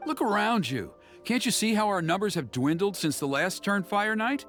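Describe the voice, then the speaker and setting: A man voicing a courteous, earnest village elder in a video game, speaking in a formal, measured, insistent manner. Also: sits high, sing-song, a bit bright, faint music behind. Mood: worried, insistent. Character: earnest, courteous male village elder, anxious for his people. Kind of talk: video game character acting.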